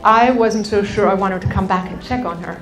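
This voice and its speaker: A woman's voice: mid-range voice, brisk, soft background music, low-quality recording. A woman teaching a motivational seminar, telling stories and addressing her listeners directly as she guides them. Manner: animated storytelling, addressing the audience directly. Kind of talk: motivational workshop talk with anecdotes and guided exercise.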